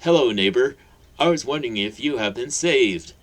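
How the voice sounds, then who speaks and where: A man voicing a big, friendly bearded man who speaks brightly and emphatically. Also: lowish voice, sing-song, moderate pace, slightly rough, heavily acted. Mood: earnest.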